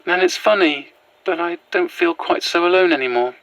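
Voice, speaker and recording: A woman's voice: fairly low pitch, moderate pace, recorded from a distance, close to an everyday voice, poor audio. An actor, a woman, playing an anxious, earnest young fugitive in an audio drama, hesitant and stammering. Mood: tentative, grateful. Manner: hesitant, stammering, breathless phrasing. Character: anxious, earnest young adult on the run, haunted. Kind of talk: naturalistic audio drama acting.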